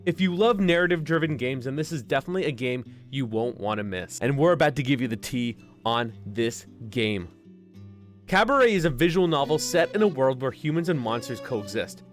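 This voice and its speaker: Man, mid-pitched voice, video game review voice-over, casual and conversational, background music. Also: animated, fairly quick. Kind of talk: semi-scripted review voice-over. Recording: music under the voice.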